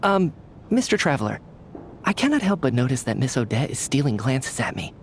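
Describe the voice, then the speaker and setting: A man's voice: medium pitch, sing-song, brisk, a little hiss or hum. A man voicing a friendly, fussy young official in a game, sociable and easily flustered. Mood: cheerful. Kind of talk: game voice acting.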